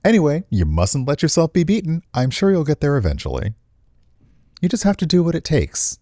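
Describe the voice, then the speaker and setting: Visual novel role: genteel older hostess, read by a man, condescending yet well-meaning, lifted pitch with big melodic swings. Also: huge pitch swings, a little fast, dark tone, no echo, studio quality, exaggerated voice. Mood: consoling. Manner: patronising, airy, genteel.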